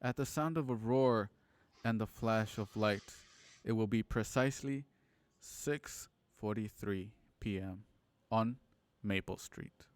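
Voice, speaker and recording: A man's voice: fairly low pitch, takes it slowly. A man delivering scripted dramatic narration for a radio play. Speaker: opening narrator.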